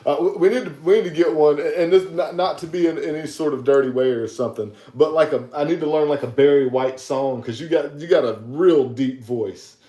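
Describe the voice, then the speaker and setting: A man's voice: fairly high pitch, brisk, few pauses, dark tone, reverberant. Laughing, stammering podcast co-host man. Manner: laughs a lot, stammering restarts. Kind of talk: casual chat.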